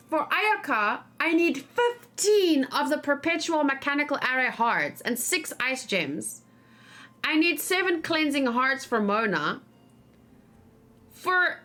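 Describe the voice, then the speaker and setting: High-voiced woman chatting through a game stream. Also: bright, forceful tone. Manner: thinking aloud, informal, talking to chat. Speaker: video game streamer, let's play host. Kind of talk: casual live game commentary, chatting with viewers.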